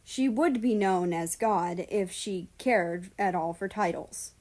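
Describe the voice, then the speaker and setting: A woman's voice: medium pitch, slow, darkish tone. A woman narrating fan fiction from a script as a story reader.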